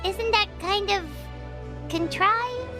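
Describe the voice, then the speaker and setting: A woman voicing a small, childlike fairy companion in a video game, squeaky, chatty and excitable. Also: slow pace. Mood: chatty, teasing. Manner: exclamatory, questioning, expressive. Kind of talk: video game character dialogue. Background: game background music.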